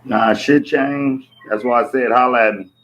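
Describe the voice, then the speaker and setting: Hoarse man ranting bluntly. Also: mid-range voice, fairly even intonation, moderate pace, a bit bright, slight background noise. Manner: blunt and emphatic, swears freely. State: hoarse from yelling. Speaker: vlogger reacting to a boxing match. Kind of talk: casual rant to camera.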